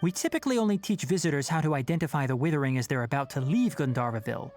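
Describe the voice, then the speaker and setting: An actor playing a calm, knowledgeable young forest ranger in a video game, speaking in a measured, instructive way with authority. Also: male voice, a little high, faint music behind, close to an everyday voice. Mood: serious, considered. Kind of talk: English video game voice acting.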